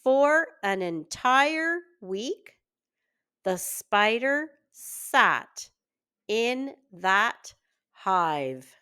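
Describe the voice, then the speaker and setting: Very slow, lively woman reading to children. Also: a little high, clean tone. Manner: deliberate, word-by-word phrasing for early readers. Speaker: children's story reader, reading teacher. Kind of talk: slow read-aloud children's storytelling.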